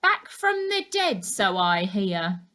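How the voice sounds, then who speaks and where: A woman's voice: mid-range voice, sing-song, slow pace, sharp tone, full character voice. A woman voicing a flamboyant, gossipy village busybody in her sixties for a children's story, chatty, effusive and dramatic. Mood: amused, nosy.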